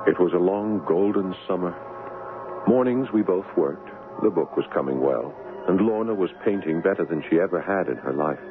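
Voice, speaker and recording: A man's voice: low-pitched, lively intonation, moderate pace. An actor playing an American writer husband in a 1970s radio drama, telling his tale in a dramatic, reflective way. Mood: reflective, wistful. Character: American writer husband recounting an ominous past, wistful. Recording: muffled old radio broadcast with music.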